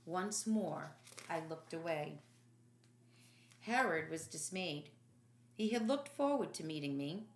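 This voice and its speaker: A woman reading an audiobook as dramatic, solemn and deliberate scripted narration. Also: deep voice, animated, echoey room, slight background noise. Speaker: audiobook reader.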